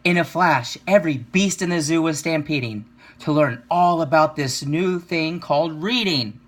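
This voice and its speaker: A man reading a children's picture book aloud, with expressive, rhythmic delivery and drawn-out emphasis. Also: fairly high pitch. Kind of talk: children's story read-aloud.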